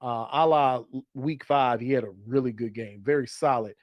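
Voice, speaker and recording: A man's voice: medium pitch, moderate pace, no echo, highs cut off. A man co-hosting a sports show in casual chat, explaining his analysis and repeating phrases for emphasis. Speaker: sports podcast co-host. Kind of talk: casual fantasy football chat.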